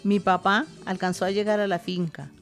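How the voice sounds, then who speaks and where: A woman's voice: medium pitch, little pitch movement, normal speed, soft background music, lightly acted. An older woman with a Colombian accent playing a grandmother who recounts her rural childhood in a radio drama. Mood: grave, reminiscing. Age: over 60.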